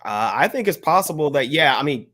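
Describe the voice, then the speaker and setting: An American man co-hosting a casual podcast discussion, measured and hesitant, carefully qualifying what he says. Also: on the high side, sing-song, fairly bright tone. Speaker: podcast co-host.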